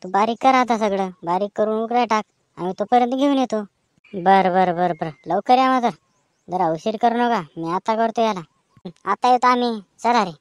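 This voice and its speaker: Comic cartoon performer: woman, higher voice, rural Maharashtrian accent, colloquial banter, dry recording. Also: normal speed, clear, resonant tone, no echo. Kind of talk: rustic comic cartoon dialogue.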